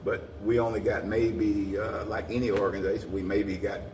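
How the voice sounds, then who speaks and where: A man's voice: lowish voice, flat delivery, moderate pace. A man giving earnest, rambling interview answers, often repeating his phrases. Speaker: guest, community organization president. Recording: echoey, distant mic, noisy room.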